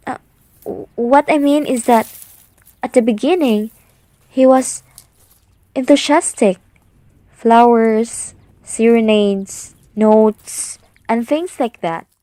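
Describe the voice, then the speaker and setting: Radio drama daughter, curious and inquiring, unhurried delivery, close dry sound; played by a woman. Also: fairly high pitch, darkish tone, no echo. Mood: earnest. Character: curious grown daughter gossiping with her father. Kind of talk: amateur radio drama acting.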